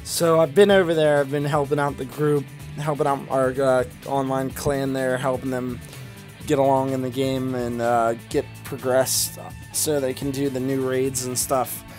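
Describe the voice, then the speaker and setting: A man's voice: medium pitch, flat delivery, measured pace, darkish tone. A man giving casual gameplay commentary as a video host, rambling and sweary with an enthusiastic manner and a slight speech impediment. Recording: music playing behind the voice.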